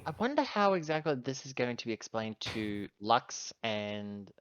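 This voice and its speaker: A man's voice: mid-range voice, big pitch swings, normal speed, a bit bright, clear, resonant tone, no room sound. A man talking casually as a player in a tabletop roleplaying game.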